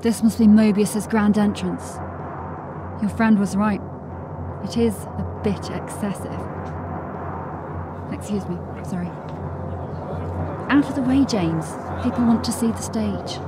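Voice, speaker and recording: A woman's voice: medium pitch, slow pace, long pauses, over music, natural voice. A woman playing a dry, watchful constable in a fantasy audio drama, with understated delivery. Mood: deadpan, alert. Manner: deadpan, understated.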